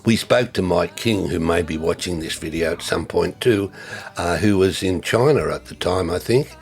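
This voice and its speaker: An older man, giving a reflective tribute in a halting way with many fillers and restarts. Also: sits low, creaky, faint music behind. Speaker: former ministry co-worker.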